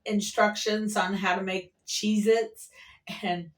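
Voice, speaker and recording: A woman with a mid-range voice chats casually in an unhurried, meandering way, like a vlogger sharing everyday stories. Her delivery is folksy and playful. The voice sits a bit away from the microphone. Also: medium pitch, fairly even intonation, recorded from a distance. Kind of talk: casual rambling storytelling to camera. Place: at an airport workplace.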